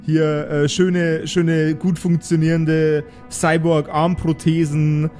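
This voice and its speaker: A man narrating an improvised tabletop game as game master, describing scenes in a halting, searching way. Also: fairly high pitch, flat delivery, darkish tone, faint music behind.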